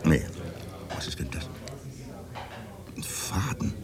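An actor playing a gruff, wry local man who acts as a reporter's minder, talking in dry, casual mutters and emphatic protests in a naturalistic radio drama. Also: takes it slowly, natural voice. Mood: puzzled.

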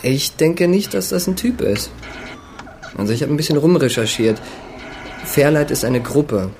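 A man's voice: a little high, lively intonation, moderate pace, a little mellow. A man playing a curious, casual computer user chatting in a radio play. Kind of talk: casual radio drama dialogue. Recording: noisy ambience around the voice.

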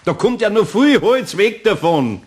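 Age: older. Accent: Bavarian. Figure: a good-natured master carpenter. Pitch: fairly high, very lively. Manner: fumbling, chuckling, homely. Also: male voice, a little slow. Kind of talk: 1960s German radio play acting.

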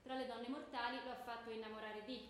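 An actress playing a stern, pedantic schoolteacher who lectures and quizzes pupils in comic radio theatre. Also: female voice, on the high side, little pitch movement, fast, close to an everyday voice. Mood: pedantic lecturing. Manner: pedantic, curt, reciting lists. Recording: echoey room, clearly noisy.

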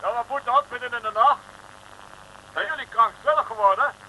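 An actor playing an irascible, dismissive colonel in a 1960s radio comedy serial, blustering and curt. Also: male voice, extremely high pitch, fairly quick. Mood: angry. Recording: noisy old radio recording.

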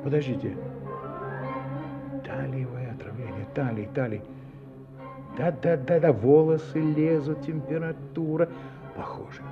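A thoughtful police inspector, played by a male actor in an audio drama, with a medium-pitched voice. He is grave, courteous and methodical, often repeating words as he thinks. Faint music sits behind slightly muffled sound. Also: fairly even intonation, unhurried, long pauses, close to an everyday voice. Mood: pondering. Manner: ponderous, repeating phrases as he thinks. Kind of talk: audio play dialogue.

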